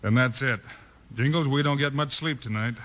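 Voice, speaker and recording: A man playing a steady, firm frontier marshal with an American accent in a 1950s radio western. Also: medium pitch, moderate pace, natural voice. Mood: resolute. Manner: firm, decisive. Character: steady, decisive male frontier marshal hero. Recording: slightly noisy vintage radio recording.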